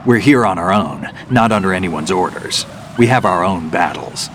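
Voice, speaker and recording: Game veteran soldier: very low, gruff, earnest idealist, noisy backdrop. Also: male voice, animated, moderate pace. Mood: resolute. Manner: terse, earnest. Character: world-weary, gruff veteran male soldier, idealistic loner. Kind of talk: dramatic video game acting. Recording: clear background noise.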